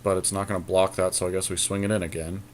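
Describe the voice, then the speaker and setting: A man's voice: deep voice, flat delivery, fast, slight background noise. A man thinking aloud as he gives casual commentary on a strategy game he is playing. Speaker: podcast host commentating a card game.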